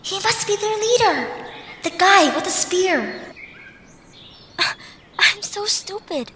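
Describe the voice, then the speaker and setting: Squeaky, very high little creature heroine. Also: female voice, animated, slow pace, sharp tone, full character voice. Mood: dismayed. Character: small female round blue Zoombini creature, anxious, earnest heroine. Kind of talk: audio drama acting. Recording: very noisy track with birdsong, clicks.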